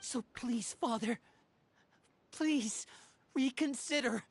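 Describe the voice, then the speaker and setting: A woman's voice: fairly high pitch, slow, husky, full character voice. A woman acting an earnest young orphan boy in a game drama, imploring and sincere. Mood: earnest pleading.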